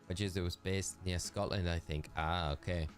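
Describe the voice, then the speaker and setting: A man's voice: low-pitched, fairly even intonation, moderate pace, soft background music. A man with a Geordie accent chatting casually and rambling on a live game stream, talking to his chat.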